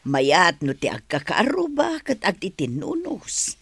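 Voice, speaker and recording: A woman playing a conciliatory middle-aged village mother in a radio drama, husky, with an Ilocano accent. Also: lowish voice, wildly swooping pitch, measured pace, no echo, heavily acted. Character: conciliatory village mother of a bride-to-be, slow-talking.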